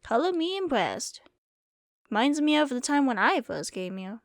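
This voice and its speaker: Woman acting as old frontier saloon keeper, higher voice, folksy rambling storyteller, dry close sound. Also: sing-song, normal speed, no echo. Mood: delighted, teasing. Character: folksy old Wild West saloon keeper, teasing and welcoming. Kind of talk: ASMR roleplay, storytelling to the listener.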